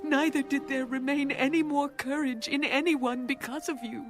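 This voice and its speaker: A woman playing a devout, fearful ancient woman in a dramatized Bible reading, halting and sometimes hushed, pleading earnestly. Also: high voice, fairly even intonation, natural voice. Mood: awed, fearful. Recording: background music under the voice.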